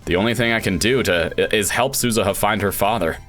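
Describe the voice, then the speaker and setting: A low-pitched, brooding young man of science as a man acts him out in a visual novel. He talks in dramatic, introspective monologue, full of self-doubt and a quick temper. Faint music plays behind him. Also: a bit bright, lightly acted. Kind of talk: visual novel narration read aloud.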